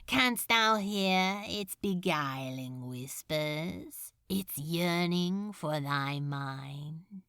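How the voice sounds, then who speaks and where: A woman's voice: low voice, animated, very slow, fairly bright tone, clear, resonant tone, dry recording, studio quality, voice pushed down, exaggerated voice. A woman voicing a cold, bored male demon lord in a storybook reading, speaking dreamily and tauntingly in archaic phrasing. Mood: dreamy taunting.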